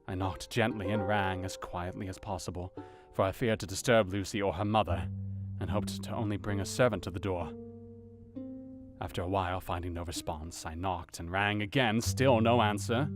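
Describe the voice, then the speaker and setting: Low-voiced, grave man acting as an anxious doctor. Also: natural voice. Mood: hushed unease. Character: earnest, anxious male doctor reading his diary. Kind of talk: dramatic first-person narration in audio drama. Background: plucked guitar music.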